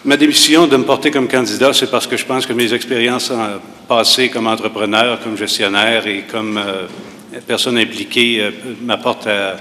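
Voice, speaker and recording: Male candidate, bright tense voice, measured and hesitant, in a reverberant hall. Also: medium pitch, fairly even intonation. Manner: measured, hesitant, many filler pauses. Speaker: municipal candidate. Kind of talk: debate opening statement. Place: university hall. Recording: reverberant hall with faint noise.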